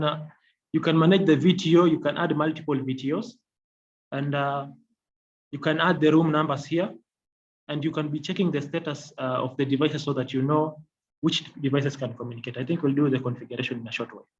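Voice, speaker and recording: Male technical trainer, fairly high voice, halting and repetitive step-by-step demo delivery, muffled lo-fi sound. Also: steady tone. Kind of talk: software demonstration tutorial. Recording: dull lo-fi audio, faint noise.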